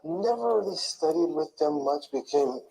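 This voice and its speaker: An older man slowly recalling his past in a soft, murmuring, rambling way, often trailing off. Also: a little high, lively intonation, vocal fry, poor audio. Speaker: interviewee in played clip. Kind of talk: slow rambling recollection.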